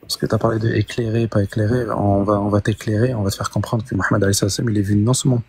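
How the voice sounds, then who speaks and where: A man's voice: fairly low pitch, level tone, very fast, darkish tone, husky. A man cutting into a debate, insistent and pressing his argument. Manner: insistent, interrupting to take the floor. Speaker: debate participant.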